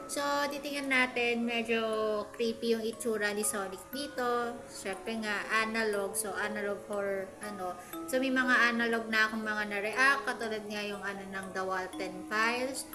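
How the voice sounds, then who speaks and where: A woman's voice: on the high side, fairly even intonation, normal speed. A woman with a Filipino accent hosting a reaction video in casual, chatty vlog style. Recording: echoey room, music behind.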